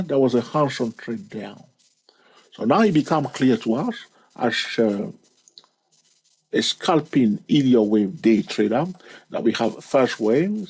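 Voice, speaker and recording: Man, trading lesson, husky tone, lively swinging pitch, drawn-out emphasis. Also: low-quality recording. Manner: stretches key words, repeats counts, laughs at own jokes. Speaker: trading educator and channel host. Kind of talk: screen-recorded chart lesson.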